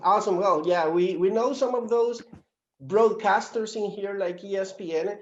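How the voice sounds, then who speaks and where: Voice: medium pitch, normal speed. Interviewer with a Costa Rican accent, roomy muffled sound. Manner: polite, admiring questioning. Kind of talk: interview questions.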